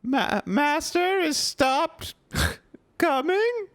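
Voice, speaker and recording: A man voicing a drunk, tearful female house elf who is loyal to her master, with a squeaky, slurred voice and broken grammar. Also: sing-song, extremely slow, fairly bright tone, full character voice.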